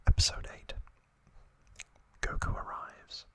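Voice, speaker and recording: A man hosting ASMR, whispering a trivia recap in a breathy, hushed voice with short phrases and pauses. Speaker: ASMR host.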